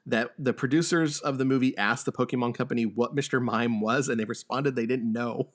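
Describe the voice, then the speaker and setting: A man co-hosting a podcast in a casual discussion, with a halting, stammering delivery. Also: medium pitch. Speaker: podcast host.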